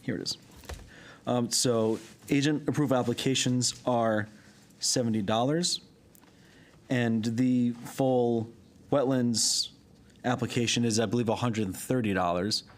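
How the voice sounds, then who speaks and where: A man's voice: mid-range voice, steady tone. A man on town staff explaining fees and procedure to a board at a public meeting, with frequent ums and restarts. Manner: halting, with frequent ums and self-corrections. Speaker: town wetlands staff member. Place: town hall meeting room.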